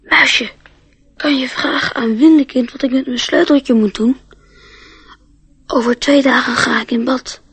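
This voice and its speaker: A woman's voice: high voice, fairly even intonation, unhurried, long pauses, fairly bright tone, husky, dry recording, heavily acted. A woman playing an earnest, curious, trusting little boy in a radio drama. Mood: anxious.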